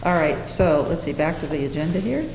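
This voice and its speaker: A woman's voice: deep voice, animated, brisk, gravelly. A plain-spoken, folksy woman chairing a board meeting informally and reading out figures, self-deprecating as she goes. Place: indoor public meeting room. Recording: echoey hall, loud room noise.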